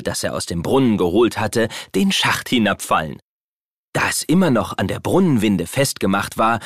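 Low, animated male storyteller, dry studio sound. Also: dry recording. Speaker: narrator. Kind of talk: scripted children's story narration.

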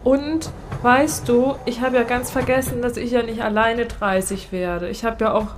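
A woman's voice: a little high, fairly even intonation, moderate pace, soft background music. Chatty woman in her late twenties. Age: late 20s. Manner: chatty, reflective, giggles often. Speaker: podcast co-host. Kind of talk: casual chat.

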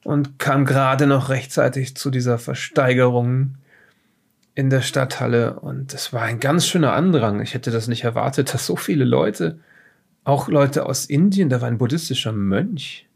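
Creaky, defensive professor played by a man. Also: mid-range voice. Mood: confused, recollecting. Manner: halting, defensive, rambling. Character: evasive forty-year-old professor with a safecracker past. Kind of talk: improvised audio drama interrogation.

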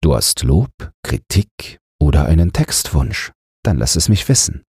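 A man's voice: very deep voice, very animated, unhurried, darkish tone, husky, no room sound. A man narrating a scripted fairy tale as an audiobook storyteller, close to the microphone. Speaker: narrator, podcast host.

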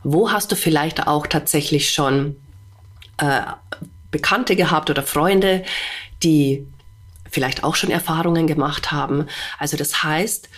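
Woman with a stuffy nose, slow unhurried pace, slightly low voice, earnest direct address, podcast host. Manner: earnest, addresses listener directly with rhetorical questions. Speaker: animal communicator. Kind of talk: spontaneous monologue to listener.

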